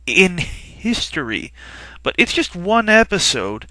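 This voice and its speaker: A man's voice: sits high, big pitch swings, slow, pushed and bright, no echo. A man giving sarcastic review commentary in a teasing, emphatic way. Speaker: comic reviewer.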